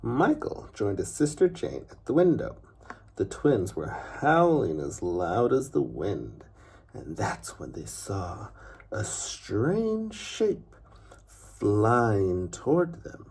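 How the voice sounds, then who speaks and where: A man narrating a children's story expressively, with deliberate phrasing and dramatic pauses. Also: on the low side, huge pitch swings, a little mellow, slightly rough.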